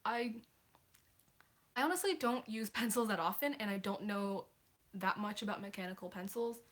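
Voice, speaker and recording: A woman's voice: mid-range voice, normal speed, a bit bright. A teenage girl hosting a casual livestream, answering viewer questions in a chatty, apologetic way with many hesitations. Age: teens.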